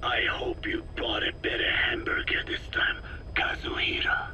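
An actor playing a proud, picky old sage in a video game, with a whispery rasp and solemn, formal phrasing. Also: male voice, normal speed, pushed and bright. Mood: stern, disappointed. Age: older. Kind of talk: scripted video game drama.

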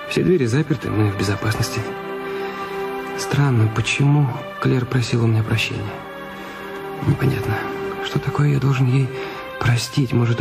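A male actor plays a troubled husband in a radio drama, anxious and guilty. His medium-pitched voice falters and questions itself. Music plays clearly underneath. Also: close to an everyday voice. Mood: uneasy, brooding. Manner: hesitant, faltering, self-questioning. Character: Raoul Duval, anxious, guilty husband with secrets. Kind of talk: Russian radio play acting.

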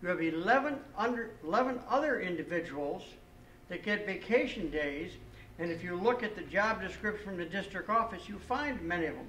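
A man's voice: high voice, expressive, a little fast, a bit bright. Pointed older man citing figures. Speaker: resident speaking at public comment. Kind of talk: public comment at a school board meeting. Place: school library meeting room. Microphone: podium microphone, picked up from a distance. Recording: echoey room, distant mic, faint hiss.

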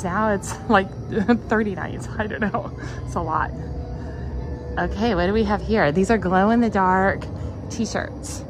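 A middle-aged woman, filming on a handheld phone as she walks through a dim indoor gift shop. She chats nostalgically, exclaims often and laughs softly. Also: medium pitch. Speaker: vlogger touring a theme park store. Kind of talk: casual walkthrough commentary. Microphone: handheld phone camera. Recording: phone video over loud background music. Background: loud spooky music and sound effects.

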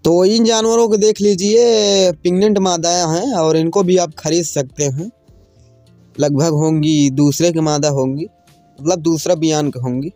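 Guy w high voice doing casual vlog narration, talks to viewers like friends, bit of music under it. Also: steady tone, moderate pace, warm tone, no room sound. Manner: informal, repeatedly addressing viewers as friends. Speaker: farm video channel host. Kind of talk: casual vlog narration, showing livestock for sale.

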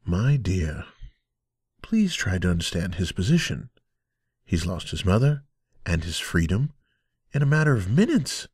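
A man voicing a kindly, fatherly talking teapot in an audio drama, gentle and reassuring. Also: low-pitched, sing-song, a little slow, long pauses, husky, dry recording, clean studio sound, exaggerated voice.

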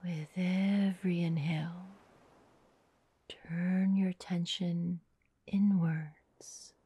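Female meditation guide: low, very breathy, soothing, slow delivery with long pauses. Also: steady tone. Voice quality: breathy, soft, half-whispered. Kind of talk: guided meditation, soft hypnotic narration.

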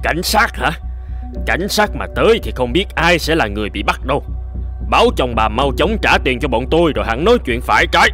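A cartoon gang boss who collects debts. He is mid-pitched and talks with a sneering, mocking menace. Faint music plays behind him. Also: sing-song, brisk, sharp tone. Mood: menacing. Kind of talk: cartoon voice acting.

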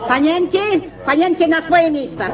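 A woman's voice: very high voice, normal speed, a loud crowd. An actress playing a nosy, indignant middle-aged mother of unmarried daughters in a theatrical radio play. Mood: suspicious, nosy. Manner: gossipy, scornful. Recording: dull radio drama sound, loud music.

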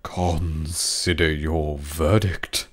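A man's voice: a bass voice, flat delivery, extremely slow, voice pushed down, heavily acted. A man reading an audiobook voices an impatient, pompous king giving orders. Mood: commanding.

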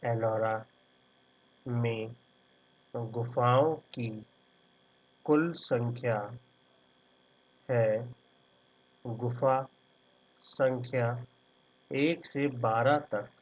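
A man's voice: medium pitch, fairly even intonation, drawn-out words, long pauses. A man teaching an art exam class, dictating notes word by word and then explaining pictures in a casual way. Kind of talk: slow dictated lecture over handwritten notes. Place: at a computer, screen recording. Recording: dull lo-fi sound with mains hum. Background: faint electrical hum.

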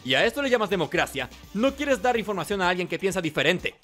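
A man's voice: high-pitched, big pitch swings, fast talker, soft background music, pitched above the performer's own voice, exaggerated voice. Man playing a haughty, contemptuous young man. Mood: haughty, irritated. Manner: haughty, commanding, forceful. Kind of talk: video game character voicing.

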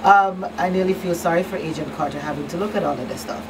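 A woman's voice: lowish voice, fast, background noise. Informal woman hosting, slightly distant mic. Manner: informal, thinks aloud. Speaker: radio call-in show host. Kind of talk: casual, conversational interviewing. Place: small radio studio. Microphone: studio mic on boom arm.

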